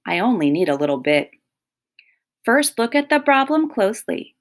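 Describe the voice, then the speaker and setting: A woman voicing a wise, patient old koala grandfather in a children's storybook, kindly but exacting, giving measured instructions. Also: a little high, big pitch swings, voice pushed up. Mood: kindly, instructive.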